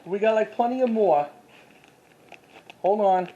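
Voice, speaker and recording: Casual collector-vlogger, medium-pitched voice, halting delivery that often repeats and corrects itself. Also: mellow, muffled recording. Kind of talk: casual show-and-tell commentary.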